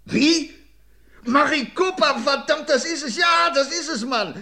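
An actor playing a shrewd, dogged police inspector in an old radio crime play, theatrical and swinging from excited outbursts to quiet menace. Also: male voice, very high-pitched, measured pace, creaky, heavily acted. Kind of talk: 1950s-60s radio crime play acting.

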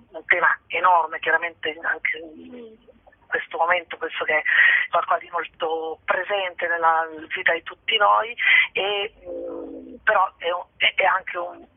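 A woman's voice: lowish voice, husky. A woman being interviewed by phone, speaking spontaneously and hesitantly, often rephrasing as she searches for words. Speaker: theatre company member interviewed about a show. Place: on a phone call. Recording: tinny, echoey telephone line.

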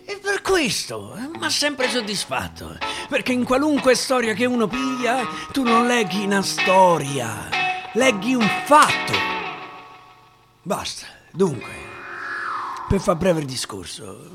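A man with a Roman accent reciting dialect verse theatrically as a storyteller, with drawn-out pauses and repeated words. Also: high voice, huge pitch swings. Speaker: stage actor and storyteller. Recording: guitar music behind the voice. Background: guitar music.

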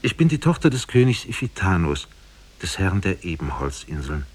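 A captive princess as a male storyteller voices her confides her story in an old radio play. The delivery is formal and sad, husky, with animated swings in pitch. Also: normal speed, exaggerated voice. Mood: earnest, sad. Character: lonely captive young princess, courteous and sad. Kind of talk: 1950s German radio drama storytelling. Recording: 1950s radio play from cassette.

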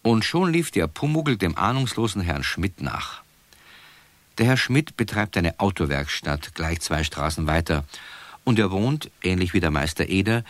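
A man's voice: sits low, no room sound. A man narrating a scripted story for children.

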